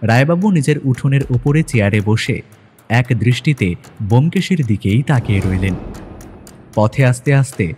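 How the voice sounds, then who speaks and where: A man's voice: mid-range voice, lively intonation, moderate pace, a little mellow. A man narrating a scripted detective audio story in a dramatic storytelling style. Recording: music bed under narration.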